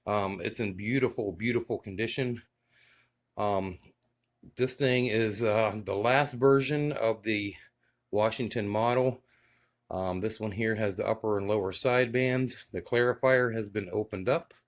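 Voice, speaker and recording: A man casually showing off his radio gear in a relaxed, enthusiastic way, with many fillers. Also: lowish voice, measured pace. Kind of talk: casual show-and-tell vlog.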